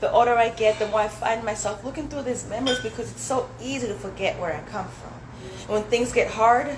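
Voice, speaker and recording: A young woman recites spoken word poetry in a measured, reflective way. Her shapes her vowels. She is caught in a roomy hall, with steady background noise around her. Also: a little high. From Zambia. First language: Swahili. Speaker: poet. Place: indoor dinner event hall.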